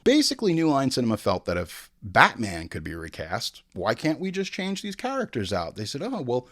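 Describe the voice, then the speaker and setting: A man's voice: mid-range voice, huge pitch swings, moderate pace, fairly bright tone. A man hosting a show with casual, opinionated film commentary, swearing freely and acting out imagined bits of dialogue. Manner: informal, frequent swearing. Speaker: show host.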